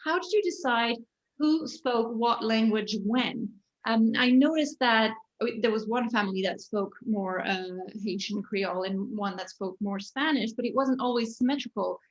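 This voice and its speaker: A woman moderating a panel discussion who asks her questions in a measured, articulate way. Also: a little high, highs cut off. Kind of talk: interview questions.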